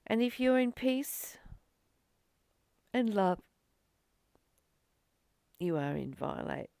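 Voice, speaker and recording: Low-voiced woman with a creaky edge. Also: darkish tone, no echo. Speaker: spiritual teacher leading a daily course study. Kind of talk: informal spiritual talk with personal anecdotes.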